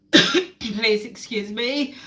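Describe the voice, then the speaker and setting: A woman's voice: on the high side, sharp tone. A woman hosting a casual live stream while cooking at home, chatty and repeating words for emphasis, still getting over a cold. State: recovering from a cold, coughing. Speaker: lifestyle channel host. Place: home kitchen. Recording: echoey kitchen, mic at a distance.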